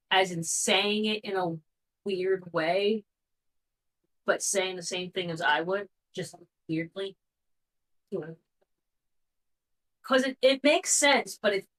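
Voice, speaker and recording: Female commentator, medium pitch. Casual, unscripted chat with a hesitant, word-searching delivery. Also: a bit bright, poor audio. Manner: hesitant, groping for words.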